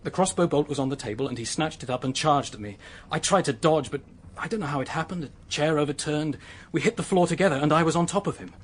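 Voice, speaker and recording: An actor playing a distraught young British man in a radio drama, confessing to an accidental killing in a haunted, halting manner. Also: a little high, slight background noise, close to an everyday voice. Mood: haunted, agitated.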